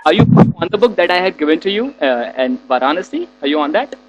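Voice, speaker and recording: Indian-raised man teacher, fairly high voice, quick, clarifies questions, faint noise. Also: big pitch swings, low-quality recording. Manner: quick, rephrasing others' questions. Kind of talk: casual class moderation.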